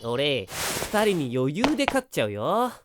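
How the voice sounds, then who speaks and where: A performer playing an energetic, teasing teenage schoolboy in an anime-style voice drama, with boyish, slangy speech. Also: mid-range voice, measured pace, background noise. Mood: eager, boastful. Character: energetic, upbeat teenage schoolboy, passionate dancer.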